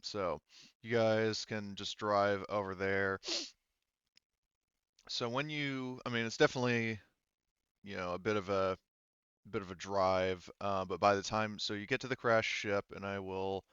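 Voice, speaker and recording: A man working as game master, narrating a tabletop roleplaying scene in a halting way, thinking aloud and rephrasing as he goes. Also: fairly low pitch, steady tone, a bit bright, clean tone, no room sound, highs cut off.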